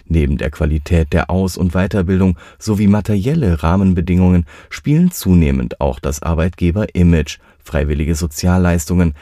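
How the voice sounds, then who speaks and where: A man reading a scripted definition in an even, informative way. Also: low voice, no room sound, clean studio sound. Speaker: explainer segment narrator.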